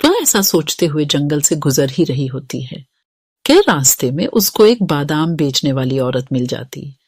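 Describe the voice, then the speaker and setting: A woman's voice: deep voice, sing-song, a little slow, darkish tone, breathy edge, dry recording, studio quality. A woman narrating a scripted children's story.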